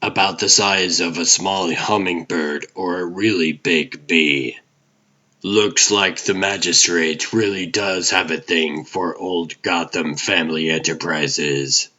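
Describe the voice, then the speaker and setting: A man playing a brooding vigilante detective, narrating a comic drama in a grim, deliberate noir manner. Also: deep voice, fairly even intonation, pushed and bright, muffled recording, exaggerated voice. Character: aging vigilante hero, brooding hard-boiled detective narrator. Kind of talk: scripted comic dub, noir inner monologue.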